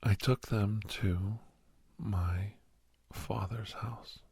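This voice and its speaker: Hushed, very husky deep-voiced doctor, acted by a man. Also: steady tone, no room sound, full character voice. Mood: quiet, confiding. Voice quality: breathy, husky, low. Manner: halting, hushed, dramatic pauses. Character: middle-aged male doctor, earnest, sentimental, reminiscing to a youngster. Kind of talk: ASMR roleplay storytelling, soft-spoken and half-whispered. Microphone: close, dry microphone.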